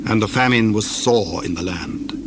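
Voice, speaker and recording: A man narrating scripture in archaic English, solemn and measured. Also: lowish voice, heavy background noise.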